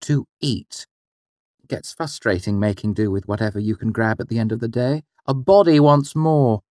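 Brief: a male narrator voicing a firm, coaxing mother, lifted higher, dry close sound. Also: big pitch swings, moderate pace, a bit bright, dry recording. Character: firm, wise mother, a traveling actress.

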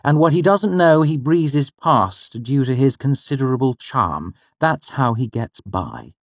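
A man's voice: mid-range voice, expressive, measured pace, no echo, studio quality, pitched above the performer's own voice. Man doing a firm, sensible mother. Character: firm, wise mother, a traveling actress.

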